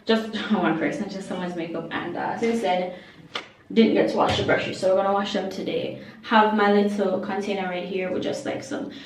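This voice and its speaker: A young woman with a Jamaican accent, slightly hoarse, rambling through her day in a casual home vlog with many ums and quiet trailing endings. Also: mid-range voice, steady tone, normal speed, a little hiss or hum. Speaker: vlogger. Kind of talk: casual daily vlog narration. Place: at home, bathroom. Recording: echoey room, voice a bit far from the mic.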